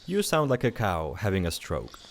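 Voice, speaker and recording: A man's voice: low-pitched, huge pitch swings, moderate pace, heavily acted. A man playing a dry, sarcastic spirit guide to the dead in an audio drama. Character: wry male spirit guide to the afterlife.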